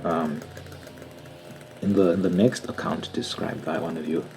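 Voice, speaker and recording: A man playing a stern, formal TV news anchor in an ASMR roleplay, speaking softly and half-whispered with a stiff, stammering interviewer manner. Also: deep voice, steady tone, measured pace, noisy background. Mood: formal, probing. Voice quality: soft-spoken, half-whispered.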